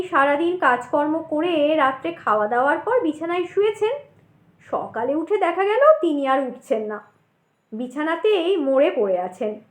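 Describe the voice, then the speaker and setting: A woman narrating a ghost story as dramatic scripted audio, with measured, suspenseful and expressive delivery. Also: very high-pitched. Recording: roomy echo on the voice.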